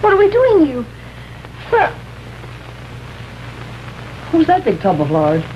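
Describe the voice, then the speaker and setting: A breezy american wife, high pitched, casual, old muffled tv drama sound. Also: female voice, wildly swooping pitch, slow, a little hiss or hum, heavily acted. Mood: flippant. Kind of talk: vintage television suspense drama acting.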